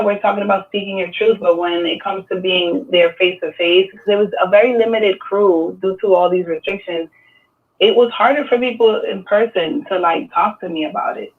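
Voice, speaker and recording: High-voiced New York man, tinny and distant. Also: brisk. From Dominican Republic, raised in New York City. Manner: relaxed, reflective, many fillers. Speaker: artist. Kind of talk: casual panel discussion. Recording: tinny, distant phone-like sound.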